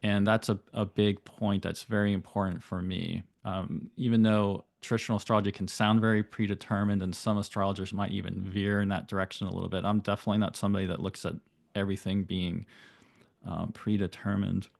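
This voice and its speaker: A man speaking as an astrologer guest on a podcast, giving thoughtful, flowing explanations with occasional rapid bursts. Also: deep voice, level tone, vocal fry, a little mellow, no room sound, clean studio sound. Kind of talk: explanatory interview talk.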